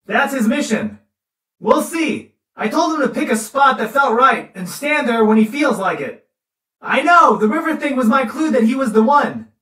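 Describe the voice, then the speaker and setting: A serene, high-pitched believer in fate in a video game. The voice sits away from the mic in an echoing room. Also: female voice, animated, moderate pace, a bit bright. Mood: serene, certain. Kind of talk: video game dialogue acting. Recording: roomy, distant from the mic.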